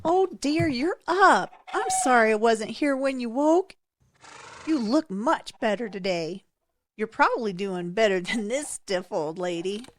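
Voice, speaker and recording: A woman's voice: fairly high pitch, lively intonation, a little slow, slight background noise. A woman playing a kindly, apologetic older woman who fusses over a patient in an audio drama. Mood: kindly, fussing. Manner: caring, fussing.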